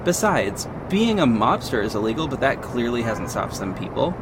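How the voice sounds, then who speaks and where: A man's voice: mid-range voice, sing-song, moderate pace, noisy background, close to an everyday voice. A man playing a confident vigilante detective who narrates his own story in an earnest, dramatic audio drama style. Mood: defensive. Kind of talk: first-person audio drama narration with acted dialogue.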